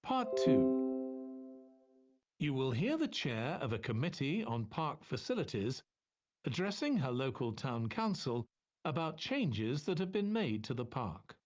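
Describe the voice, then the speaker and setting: A man's voice: medium pitch, big pitch swings, measured pace, darkish tone, lo-fi sound, faint music behind. Formal male exam announcer, varied intonation. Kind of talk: scripted formal test instructions.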